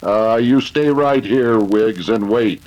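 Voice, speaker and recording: A man playing a calm, commanding cowboy hero in an old-time radio western. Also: on the low side, takes it slowly, highs cut off.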